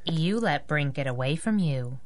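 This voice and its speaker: An actress playing a plucky, wry young sidekick in a radio superhero drama, snappy and casual. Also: female voice, deep voice, animated, measured pace. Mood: wry, brisk. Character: plucky wisecracking young woman sidekick, hero's driver. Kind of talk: 1930s-set radio superhero drama acting.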